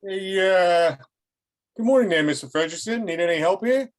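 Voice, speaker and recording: A man reads a polite construction foreman in a script read. His voice sits high for a man, and he speaks hesitantly, trying to keep the peace. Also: lively intonation, normal speed. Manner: hesitant, conciliatory. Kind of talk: online script table read.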